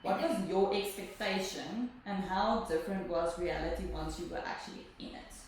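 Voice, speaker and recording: A woman's voice: on the low side, moderate pace. Woman interviewer in an echoey room. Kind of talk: reading prepared interview questions. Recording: echoey room, distant mic, audible noise.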